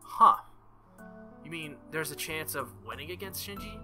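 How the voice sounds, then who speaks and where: A man voicing a confident, teasing young woman mage who questions briskly, in a visual novel. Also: fairly high pitch, animated, loud music behind the voice, close to an everyday voice. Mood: smug warning.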